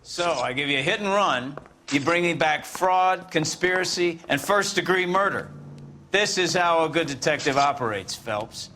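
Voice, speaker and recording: Bright, forceful American police captain. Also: male voice, a little high, expressive, normal speed, a little hiss or hum. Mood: approving, gruff. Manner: emphatic, authoritative. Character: brusque, forceful police captain praising and lecturing. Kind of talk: dramatic video game acting.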